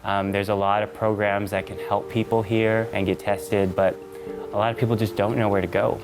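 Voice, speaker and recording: A man's voice: lowish voice, flat delivery, normal speed, music in the background. A man in his thirties from New City, a guest on a talk show, explaining things earnestly with frequent fillers. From New York City, USA. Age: 30s. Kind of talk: casual interview talk.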